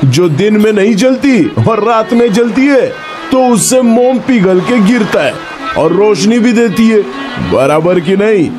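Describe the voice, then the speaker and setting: Man as high-voiced, easygoing village fisherman. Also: lively intonation, unhurried. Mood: thoughtful, pleased. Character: good-natured, clever village fisherman husband. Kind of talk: animated moral-story cartoon dialogue. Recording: music bed under the voice.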